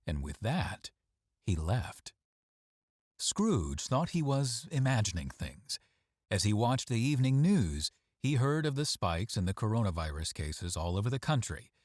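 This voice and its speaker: A man reading a story aloud as scripted narration, with dramatic, measured phrasing. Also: lowish voice, darkish tone, husky, dry recording, clean studio sound. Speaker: story reader.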